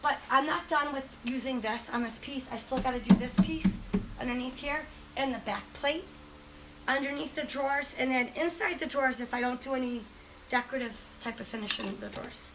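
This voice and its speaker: High-voiced chatty woman with a cold. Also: fairly even intonation, fairly quick, background noise. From Western Massachusetts. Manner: chatty, enthusiastic, rambling. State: has a cold. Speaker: furniture painting host. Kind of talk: casual livestream demo.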